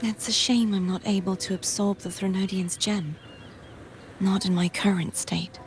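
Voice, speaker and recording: A woman's voice: medium pitch, fairly even intonation, moderate pace, soft background music, natural voice. Gracious, earnest young noblewoman played by an actress. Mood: solemn gratitude. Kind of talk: video game voice acting.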